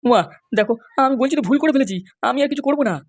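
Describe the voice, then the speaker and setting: A woman's voice: high-pitched, very fast. A woman voicing a meek, henpecked husband in a comedy cartoon, pleading and placating.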